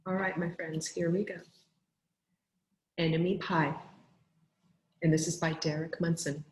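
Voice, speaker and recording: A woman teacher reading aloud to children in an expressive storybook style, with deliberate, clearly phrased delivery. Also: lowish voice, reverberant.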